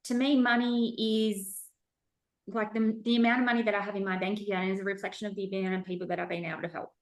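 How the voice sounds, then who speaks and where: A woman's voice: mid-range voice, level tone, quick pace. A young adult woman hosting a podcast in casual chat, easygoing and loose, laughing often. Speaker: podcast host.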